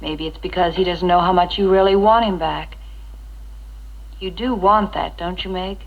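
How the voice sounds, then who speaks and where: An actress playing an earnest, devout, supportive friend in a 1960s educational film, speaking in a heartfelt, gently persuasive way. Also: female voice, fairly low pitch, normal speed, close to an everyday voice. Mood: gently challenging. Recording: dull 1960s film soundtrack with music.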